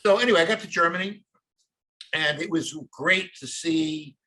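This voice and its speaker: A man's voice: a little high, moderate pace, sharp tone, rough recording. Rambling New York man telling a story. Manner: rambling storyteller, many fillers. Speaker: co-host. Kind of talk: casual chat.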